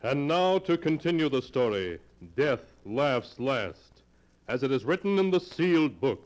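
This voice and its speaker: An actor playing an ominous, theatrical storyteller who hosts a 1940s radio horror series, narrating in a grave, portentous manner. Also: male voice, mid-range voice, sing-song, unhurried, faint music behind. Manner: dramatic, portentous. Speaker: storyteller host of a mystery series. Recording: old 1940s radio recording.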